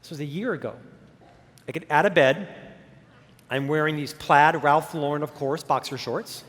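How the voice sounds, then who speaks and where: A man's voice: fairly high pitch, normal speed, a bit bright. A man in his fifties with a stutter, telling his life story at a motivational conference with self-deprecating humor. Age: 50s. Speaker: keynote speaker. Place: large conference hall stage. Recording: long reverb of a conference hall.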